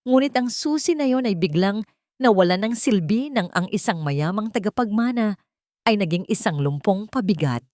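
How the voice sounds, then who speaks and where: A woman with a Filipino accent narrating a romance story in a measured, dramatic storytelling manner. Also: medium pitch, lively intonation, a little mellow, no echo, studio quality.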